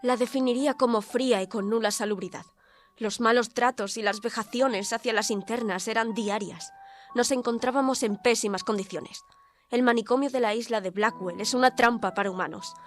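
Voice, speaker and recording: A woman's voice: fairly high pitch, moderate pace, natural voice. An actress playing a defiant, articulate young woman reporter held in an asylum, in a dramatic audio drama. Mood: grave, recounting. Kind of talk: audio drama narration and dialogue. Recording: music under the voice.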